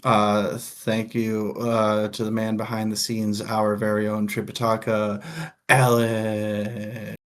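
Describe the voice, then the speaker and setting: A man's voice: fairly low pitch, monotone, slow pace. A man co-hosting a casual live book discussion, speaking in a jokey, informal, playfully theatrical way. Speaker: co-host of a book discussion show.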